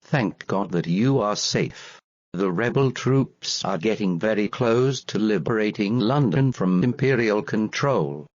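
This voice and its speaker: A man's voice: lowish voice, expressive, unhurried, no room sound, lo-fi sound. A man playing a world news anchor in a scripted fictional invasion bulletin. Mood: measured.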